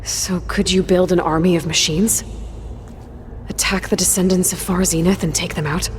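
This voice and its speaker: Young woman playing a determined game heroine, earnest and probing, talks naturally, music clearly behind her. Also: lowish voice, steady tone, moderate pace, close to an everyday voice. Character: determined, questioning young female sci-fi adventurer. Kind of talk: scripted video game cutscene dialogue. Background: background music.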